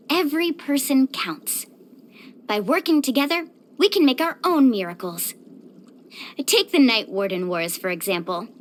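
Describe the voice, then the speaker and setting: A woman voicing an upbeat, caring, sporty young surfer in a video game, speaking in a peppy, expressive, friendly way. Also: high voice, slight background noise. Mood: earnest, friendly. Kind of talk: scripted video game voice acting.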